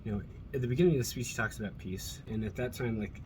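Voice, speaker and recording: A man's voice: medium pitch, fast. A middle-aged man from Colorado with an American accent, talking in a casual, rambling monologue with an earnest, blunt, freely swearing manner. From Colorado, USA. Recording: noticeable background noise.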